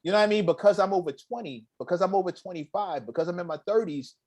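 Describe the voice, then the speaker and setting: A middle-aged man with a slightly high voice hosts a casual talk show. He speaks with emphasis and grows animated, even rapping lines from memory. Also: fast talker. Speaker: podcast host. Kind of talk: casual chat.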